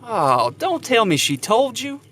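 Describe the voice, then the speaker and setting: An earnest young suitor played by an actor in an audio drama. His voice sits high for a man and moves up and down freely, sheepish and devoted. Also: sing-song, measured pace, sharp tone, exaggerated voice.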